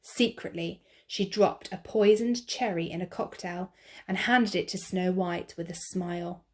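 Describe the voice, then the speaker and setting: A woman teacher reading a picture book aloud to children, slow, clear and expressive. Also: on the low side.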